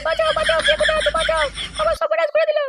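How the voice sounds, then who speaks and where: An indignant young cartoon woman, voiced by a man, scolds and wails. The voice is piercingly high and very quick, with faint noise behind it. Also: completely flat delivery, gravelly, bright, forceful tone, full character voice. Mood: wailing, outraged. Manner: wailing, scolding. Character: shrill indignant young woman, easily outraged. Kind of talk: crude comedy cartoon dialogue.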